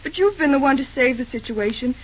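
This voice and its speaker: Earnest young working woman, high voice, halting theatrical delivery, old radio drama, muffled noisy recording. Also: animated. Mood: gently forgiving. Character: earnest young railroad company woman, modest, teasingly frank. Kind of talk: 1930s-40s old-time radio drama acting.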